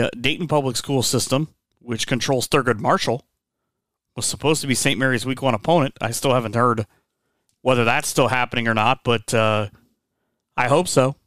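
A man's voice: mid-range voice, fairly bright tone, no echo. A man hosting a sports podcast, chatting in an easygoing, conversational way. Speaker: sports radio host.

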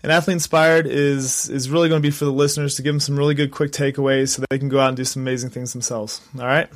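A man hosting a podcast, upbeat and energetic, with a stuffy nasal voice from a sinus infection. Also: medium pitch, fast, no echo, studio quality. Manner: upbeat, energetic, frequent restarts. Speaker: podcast host. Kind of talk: podcast intro and interview. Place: Colorado.

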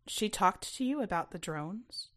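A woman's voice: mid-range voice, expressive, unhurried, a bit bright, slight background noise. A woman voicing a curious teenage girl in a story, probing and direct. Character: curious, blunt teenage girl raised on Mars.

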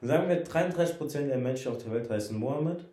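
A man's voice: mid-range voice, fast talker, mellow, reverberant. A young man co-hosting a casual podcast chat, tired and joking, sometimes mumbling. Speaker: podcast co-host.

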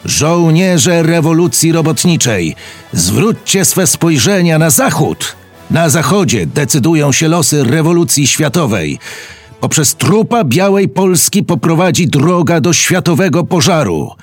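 Fierce revolutionary commander played by a man, slow and declamatory, husky, solemn radio-drama proclamation. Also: on the high side, no room sound, full character voice. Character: fierce Bolshevik army commander reading a battle order. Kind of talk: dramatic reading of a historical military proclamation.

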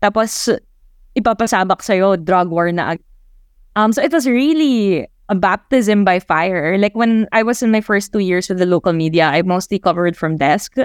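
Young filipina woman journalist chatting in an interview, clear smooth voice, reflective, really dry close sound. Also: medium pitch, moderate pace, dry recording. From Philippines. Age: 20s. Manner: reflective, mixes Tagalog into English. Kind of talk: conversational interview answers.

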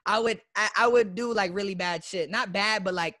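Young guy from florida chatting casually, high bright voice, slangy, dry close sound. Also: moderate pace, no room sound. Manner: loose, slangy, with lots of "like" fillers. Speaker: guest YouTuber and streamer. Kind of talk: casual interview chat.

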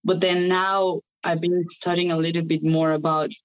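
A woman's voice: on the low side, flat delivery, moderate pace, clean tone, dull audio. Chatty woman with a Spanish accent. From Spanish-speaking country. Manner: enthusiastic, many fillers and restarts. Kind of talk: casual podcast conversation.

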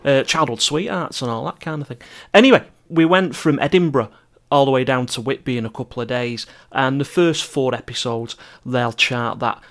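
A man's voice: medium pitch, fairly bright tone. Wry middle-aged man narrating a travel podcast. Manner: wry, self-deprecating. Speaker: podcast host and travel writer. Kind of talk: scripted travel narration with chatty asides.

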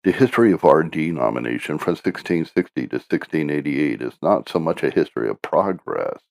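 A man with an extremely low, creaky voice narrates a history book. His reading is solemn and measured, formal in tone. The recording is close and dry. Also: sing-song, no room sound. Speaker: audiobook narrator.

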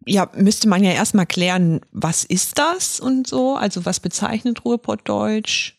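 Gravelly woman with a light Ruhr accent. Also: mid-range voice, lively intonation, a little slow, no echo, studio quality. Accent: light Ruhr area. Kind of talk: casual chat.

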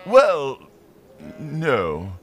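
A man's voice: a little high, huge pitch swings, very slow, soft background music, exaggerated voice. A man playing a pompous, credulous Puritan witch-hunter in a comedic audio play, with haughty old-fashioned phrasing. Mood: indignant. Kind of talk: comedic audio theater acting.

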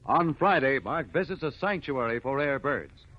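A man's voice: mid-range voice, lively intonation, moderate pace, natural voice. A man narrating a 1950s radio adventure serial in a dramatic, declamatory way. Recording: dull old radio recording with loud music.

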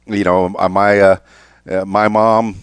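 A man in his fifties hosts a podcast interview in a somewhat low voice. He speaks haltingly, often repeating words and restarting. The sound is dry and close. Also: little pitch movement, smooth, no room sound. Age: 50s. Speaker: podcast host. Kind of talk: interview conversation.

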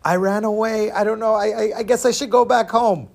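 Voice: mid-range voice, a little fast, pitched above the performer's own voice, lightly acted. A storyteller voicing a nervous eleven-year-old runaway boy who speaks in a hesitant, stammering way. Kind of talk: oral storytelling character voice.

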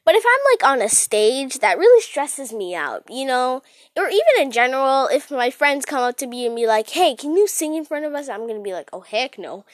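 A young woman with a high, swooping voice rambles casually into a close, dry recording. She is giggly and self-deprecating, stressing words dramatically like an amateur podcast host talking to listeners. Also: brisk, dry recording. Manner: animated, dramatic emphasis. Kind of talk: casual rambling solo chat.

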